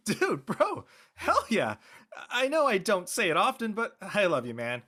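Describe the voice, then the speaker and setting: A man plays a loyal, excitable high school jock in a naturalistic radio play. His voice is bright and forceful and swings widely in pitch. He talks in slangy exclamations. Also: a little slow, heavily acted. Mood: elated, amazed. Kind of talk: naturalistic dialogue in a live-streamed audio play.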